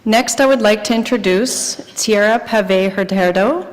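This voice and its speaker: A woman's voice: medium pitch, takes it slowly, big-room sound, low-quality recording. A woman moderating a conference, thanking a presenter and introducing the next one. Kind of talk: introducing speakers. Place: large conference hall.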